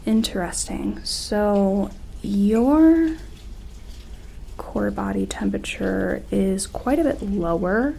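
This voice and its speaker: A woman playing a gentle, respectful sci-fi colony medic in a soft-spoken, hesitant ASMR roleplay, close to the microphone. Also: medium pitch, animated, slow, creaky, a little hiss or hum, exaggerated voice, low-quality recording. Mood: gentle, focused. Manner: hushed, hesitant, reassuring, informal.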